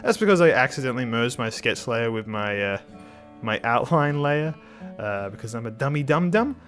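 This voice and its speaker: A man's voice: medium pitch, expressive, normal speed, a bit bright, faint music behind. A man hosting his art channel in casual talk over a speed drawing, a bit nasal and under the weather, rambling with many restarts. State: slightly sick.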